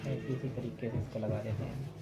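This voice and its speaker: A man hosting a repair tutorial, talking through each step at his workbench, briskly and with instructive repetition. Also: mid-range voice, fairly even intonation, poor audio. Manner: brisk, instructive, repeats "fatafat" and "theek hai". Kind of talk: hands-on repair demonstration.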